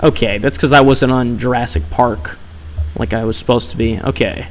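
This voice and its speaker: A man's voice: medium pitch, steady tone, moderate pace, slight background noise. A man streaming a video game, chatting casually and scolding the game as he plays. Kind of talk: casual gameplay commentary.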